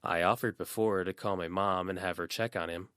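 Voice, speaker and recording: A man voicing a lively, caring teenage girl in a fan audiobook reading, lifting his voice high and chirpy. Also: a little fast, clean tone, dry recording, clean studio sound. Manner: bright, chirpy, high put-on voice. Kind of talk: audiobook character voice.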